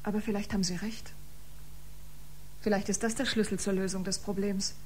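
An actress in a German science fiction radio drama playing a proud, wounded exiled biomusician, speaking dramatically with breathy deliberation. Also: female voice, mid-range voice, steady tone, a little fast, a little hiss or hum, lightly acted. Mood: resigned, brooding.

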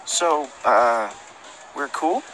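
A man's voice: mid-range voice, lively intonation, drawn-out words, fairly bright tone, slight background noise, rough recording. An actor playing a laid-back, slightly sheepish young man in a video game, casual and awkwardly friendly. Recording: street ambience behind the voice.

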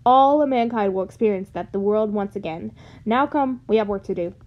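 A woman's voice: mid-range voice, a little fast, muffled recording, close to an everyday voice. A woman voicing an eager male inventor, insistent and grandiose, in an amateur comic dub. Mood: excited. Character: eager, commanding male inventor, robot's creator.

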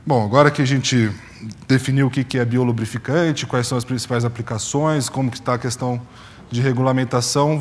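A man's voice: mid-range voice, in a large hall. A man in his thirties from Brazil giving a conference presentation on a stage, explaining with frequent fillers and restarts into a lapel mic. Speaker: conference speaker. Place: conference stage in a large hall.

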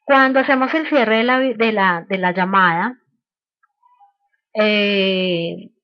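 A woman speaks as a trainer in an informal online session, at a middling pitch. She explains in a halting way, often tagging on a short check-in question. The sound is dry and slightly muffled, like lo-fi call audio. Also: no room sound. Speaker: sales trainer. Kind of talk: informal training webinar over slides.

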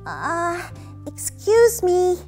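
A woman's voice: very high voice, wildly swooping pitch, very slow, darkish tone, faint music behind, pitched above the performer's own voice, heavily acted. A woman playing a nervous, polite little boy in a children's story, hesitant and earnest. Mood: concerned.

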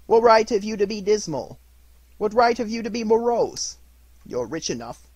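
Bright-spirited young male nephew, acted by a man reading, eager, earnest, raised pitch, slightly rough voice, storybook style, dry recording. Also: lively intonation, normal speed, no echo. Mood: cheerful. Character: cheerful, warm-hearted young nephew, earnest and pleading.

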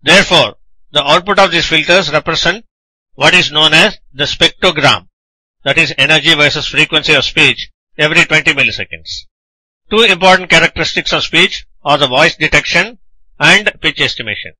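A man with a very bright, sharp voice, pitched a little high, delivers a scripted technical lecture. He speaks deliberately and didactically in short, measured phrases. The recording is dry and close. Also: husky, no room sound, poor audio.

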